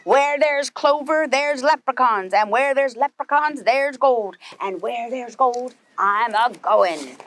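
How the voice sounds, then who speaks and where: A woman's voice: on the high side, slow, hardly any pauses, fairly bright tone. A woman voicing a stubborn, gold-greedy male pirate captain in a storybook, growling and drawing out his pirate talk. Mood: greedy, frustrated.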